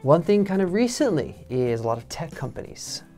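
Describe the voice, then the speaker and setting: A man in his thirties from Texas with a Texan accent, presenting an English lesson in a relaxed, chatty way from a studio. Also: a little high, normal speed, over music. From Texas, United States. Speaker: English teacher. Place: studio in front of a backdrop.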